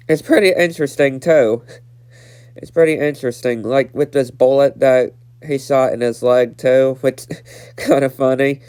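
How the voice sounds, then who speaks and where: A man's voice: a little high, a little mellow, smooth. A nineteen-year-old man with a stuffed-up nose, casually recapping a cartoon episode and repeating himself with lots of fillers. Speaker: episode reviewer.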